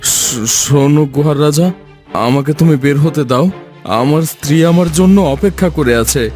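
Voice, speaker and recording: A man as a slow, pleading vain husband. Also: fairly high pitch, a little mellow, soft background music, close to an everyday voice. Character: vain husband, now remorseful and pleading with a captor. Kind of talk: cartoon fairy tale acting.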